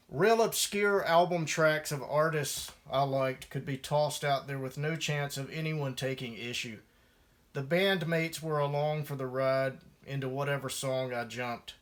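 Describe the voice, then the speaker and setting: Higher-voiced man reading his memoir. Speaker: musician and author reading own memoir. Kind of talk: first-person memoir narration, read aloud.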